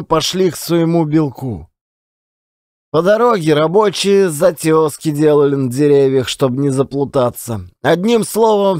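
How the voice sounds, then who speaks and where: A male storyteller with a high voice narrates in a folksy, colloquial way. He takes his time, and his intonation swings widely. It is a dramatic reading of a classic tale. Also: no echo, studio quality. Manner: folksy, colloquial yarn-spinning. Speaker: audiobook narrator, actor.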